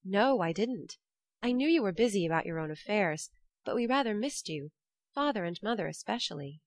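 A woman voicing an eager, flustered young woman, her speech quick and bright. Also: mid-range voice, lively intonation, no echo.

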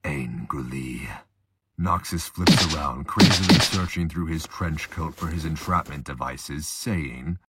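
A man's voice: extremely low pitch, unhurried, very noisy. A man reading scripted dramatic narration for a fan-made audio drama.

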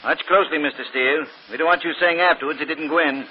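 Guy doing a gruff bossy police inspector, talks fast, old radio drama, muffled. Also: on the high side, steady tone, lightly acted. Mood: brisk. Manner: brusque, commanding. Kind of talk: 1930s radio acting. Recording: muffled, noisy 1930s radio recording.

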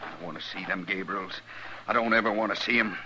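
A man's voice: low-pitched, quick pace, noisy background, lightly acted. An actor playing a bitter, belligerent frontier father in a radio western, with a folksy, combative way of talking. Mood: bitter grief. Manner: folksy rural drawl, combative. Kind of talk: 1950s radio western acting.

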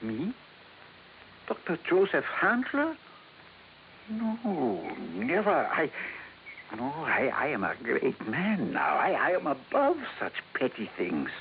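An actor in a 1950s radio drama playing an excitable, boastful older scientist with a stammering, grandiose manner. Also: male voice, fairly high pitch, slow, exaggerated voice. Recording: tinny, hissy 1950s radio recording.

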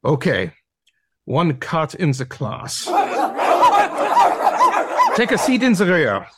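An actor voicing a bossy, pompous dog instructor in an audio play, with an imperious, declamatory delivery. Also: male voice, a little high, huge pitch swings, slow, very noisy, full character voice. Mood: irritated, commanding. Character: bossy, pompous German Shepherd masterclass instructor, male. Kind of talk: theatrical audio drama acting.

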